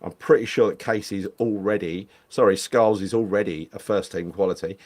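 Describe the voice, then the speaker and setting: Host, man in his 50s, lowish voice, loose rambling chat over a webcam. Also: fairly quick, a little mellow. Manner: chatty, rambling, repeats phrases. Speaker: podcast host. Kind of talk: casual football chat reading live comments. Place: home room.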